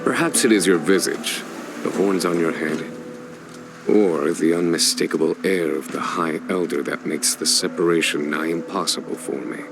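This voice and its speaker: An actor playing a grave, commanding general who speaks in solemn, formal sentences. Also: male voice, sits low, gravelly. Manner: solemn, measured, formal speech. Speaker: military general of a starship. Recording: game dialogue over loud music.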